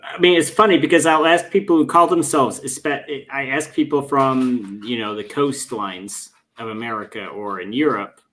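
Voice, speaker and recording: A man talking in a loose, casual way on a political discussion show. He rambles and restarts often, and his voice drops low and quiet whenever he acts out what other people say. His pitch sits in an ordinary middle range. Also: steady tone, normal speed, hardly any pauses, a little hiss or hum. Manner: rambling, many restarts, drops to a mutter when quoting people. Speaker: podcast guest.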